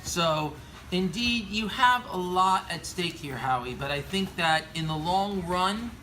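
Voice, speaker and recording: Male American commentator, sharp bright tone, formal scripted delivery with stumbles, faint background noise. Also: high voice, a little slow, poor audio. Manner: earnest, formal reading with stumbles over words. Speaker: aviation commentator. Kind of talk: scripted opinion commentary read aloud.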